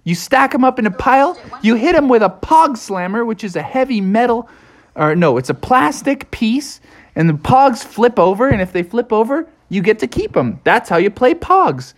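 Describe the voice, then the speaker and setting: A woman voicing a friendly young beaver boy in a storybook, eager and quick to explain. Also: on the high side, big pitch swings, pitched above the performer's own voice.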